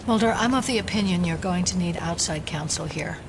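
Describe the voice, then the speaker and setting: A woman's voice: lowish voice, brisk, gravelly, background noise. Firm, protective female federal agent. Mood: defensive. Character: fiercely protective female FBI agent, rational, insistent partner. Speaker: FBI agent. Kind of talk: audio drama acting.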